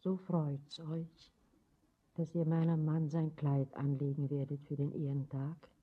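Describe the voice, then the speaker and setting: An actress playing a bitter, reproachful older wife in a radio drama, speaking with formal, accusing emphasis. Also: female voice, low-pitched, level tone, measured pace, breathy edge. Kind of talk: poetic radio drama acting. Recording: thin phone-like lo-fi radio recording.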